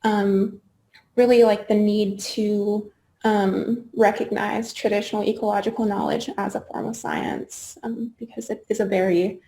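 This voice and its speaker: A young woman student on a panel, speaking slowly and softly with many fillers. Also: mid-range voice, little pitch movement. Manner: slow, filler-heavy, soft. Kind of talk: casual panel conversation.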